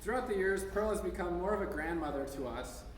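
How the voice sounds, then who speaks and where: American guy reading an essay out loud, kinda high voice, informal, recorded from far back in a big echoey room. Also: lively intonation, fast talker. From United States, Minnesota. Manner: informal, stumbles over words now and then. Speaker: speaker at a memorial service. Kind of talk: reading an essay aloud to a gathering. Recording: echoey hall from far off, noisy.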